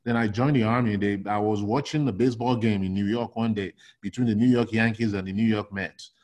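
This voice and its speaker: A middle-aged man from Nigeria, a logistics consultant talking casually in an interview, animated and full of restarts and "you know". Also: lowish voice, a little fast.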